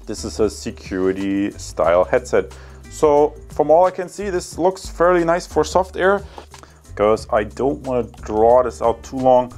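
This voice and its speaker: Man in his thirties, German accent, lowish voice, casual halting reviewer talk, music in the background. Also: a little mellow. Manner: hesitant, halting, addresses viewers as guys. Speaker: product reviewer.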